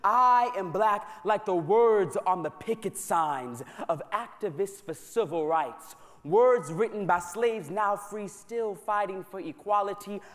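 An American man performing spoken word poetry on a stage microphone at a live church concert, with a rhythmic, rhyming delivery and emphatic slow repetitions. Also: sits high, fairly bright tone. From United States. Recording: long hall reverb from a large church.

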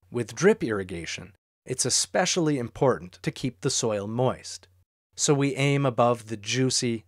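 A man giving scripted, clear and steady narration for an instructional farming video. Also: mid-range voice, dry recording, clean studio sound. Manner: clear, steady explanatory delivery.

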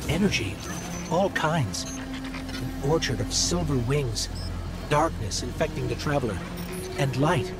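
A man's voice: medium pitch, lively intonation, a little slow, long pauses, loud music behind the voice, natural voice. A man playing an earnest, anxious little robot companion in a video game, speaking in a precise, analytical way. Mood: awed, alert. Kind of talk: scripted video game dialogue.

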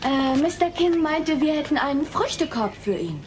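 A teen daughter in a sitcom audio play, high animated voice, bit of tape noise. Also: female voice, normal speed. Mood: matter-of-fact. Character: amused, helpful teenage daughter. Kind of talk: dubbed sitcom audio drama. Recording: slightly noisy cassette tape.

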